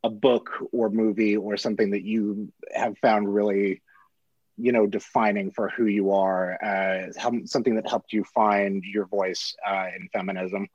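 A man's voice: lowish voice, little pitch movement, a little slow, darkish tone, slightly rough, no room sound, dull audio. A man interviewing film guests, casual and laughing, swearing freely. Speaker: interviewer, local editor.

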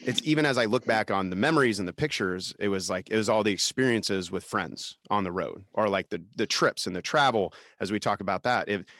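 A man's voice: on the low side, fairly quick, few pauses, fairly bright tone, no echo, clean studio sound. A man in his forties hosting a casual conversation, reflective and rambling, laughing often. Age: 40s. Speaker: podcast host, musician.

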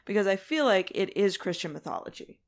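A woman's voice: medium pitch, very animated, brisk. A woman co-hosting a casual discussion, talking informally and often acting out little bits of imagined dialogue. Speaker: podcast co-host.

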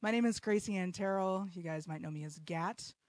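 A woman's voice: fairly low pitch, a little fast, a little mellow, no echo. Woman, 30s, casual podcast monologue, blunt, swears a lot, self-deprecating, dry room. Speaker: podcast host. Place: home basement.

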